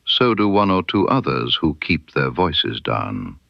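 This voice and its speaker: A man voicing a cool, knowing woman informant in a mystery story, speaking softly and deliberately with guarded amusement. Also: rumbling low, big pitch swings, gravelly, no room sound, dull audio, full character voice. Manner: soft, deliberate, veiled warnings.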